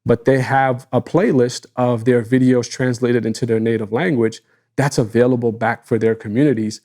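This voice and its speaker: A man whose first language is Arabic co-hosts a laid-back business chat. His voice is mellow and dark. He sounds easygoing and keen, dropping in casual slang. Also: mid-range voice, normal speed. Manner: relaxed, enthusiastic, casual slang. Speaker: podcast co-host.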